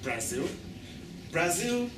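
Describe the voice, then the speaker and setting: A man's voice: on the high side, very animated, takes it slowly. A young man playing a rude, prejudiced train ticket inspector in a stage skit, talking bluntly and dismissively. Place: large hall, live stage. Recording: echoey hall, distant mic, noisy.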